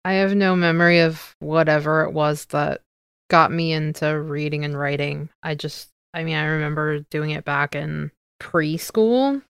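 A woman's voice: sits low, normal speed, creaky, clear, resonant tone, dry recording, studio quality. A woman in casual conversation, thinking aloud and correcting herself as she goes. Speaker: podcast co-host.

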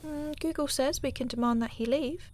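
A woman's voice: high-pitched, normal speed, darkish tone, close to an everyday voice. An actress playing a weary, unbothered female householder in a comedic audio play, blunt and dismissive. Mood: practical. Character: weary, unbothered young woman, haunted householder.

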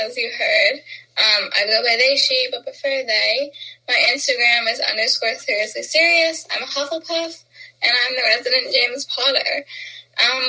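High, bright, breathy young woman. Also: rough recording. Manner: giggly, easily amused. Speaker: podcast co-host. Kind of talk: casual podcast chat.

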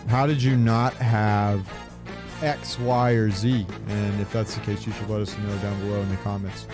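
A man talking casually as a gaming podcast host, halting as he thinks aloud. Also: fairly low pitch, steady tone, music in the background.

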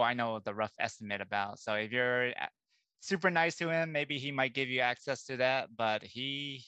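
A man's voice: medium pitch, pushed and bright, clear, resonant tone, no echo. A man hosting a casual podcast, chatting as he leads the conversation. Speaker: podcast host.

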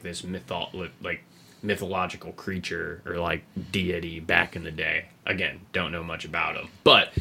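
A man hosting a podcast, explaining video game lore in a casual, rambling, sweary way, with a gravelly, husky voice. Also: deep voice, bright, forceful tone. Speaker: podcast host.

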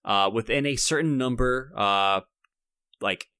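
A man's voice: medium pitch, unhurried, sharp tone, smooth, dry recording, studio quality. A man co-hosting a podcast in casual banter, with a jokey, playful and rambling way of talking. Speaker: podcast co-host.

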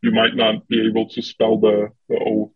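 A man's voice: lowish voice, completely flat delivery, moderate pace, breathy edge, dry recording, narrow, tinny audio. A man chatting bluntly and hesitantly on a video call. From Germany. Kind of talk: casual chat on a video call.

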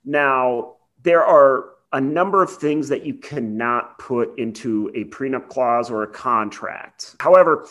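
A man with a mid-pitched voice talks as a podcast co-host. He explains legal matters casually and conversationally, slipping in wry asides. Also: a little slow, few pauses, fairly bright tone.

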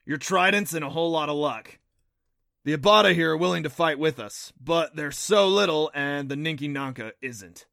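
A man's voice: on the high side, normal speed, creaky, pushed and bright, no room sound, studio quality. A man voicing a proud, impatient magical horned beast, explaining things emphatically in an audiobook. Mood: exasperated. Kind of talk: audiobook character voice.

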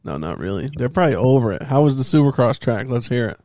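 A man's voice: medium pitch, sing-song, fast talker, mellow, no echo. A young man hosting a podcast in casual banter, teasing and swearing freely. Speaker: podcast host.

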